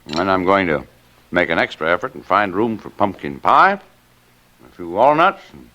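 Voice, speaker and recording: Low-voiced, expressive, teasing father. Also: full character voice, poor audio. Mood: contented, teasing. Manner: measured, jocular, mock-formal, sternly paternal. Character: genial, teasing father, firm when pestered. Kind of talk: 1940s-50s radio drama acting.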